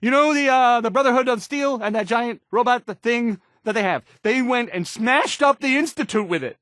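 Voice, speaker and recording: A man's voice: very high-pitched, moderate pace, fairly bright tone, no echo, studio quality. An actor playing an awkward young male radio newscaster in a video game, nervous and hesitant, stammering and trailing off. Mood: uncertain, flustered. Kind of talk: in-game radio news acting.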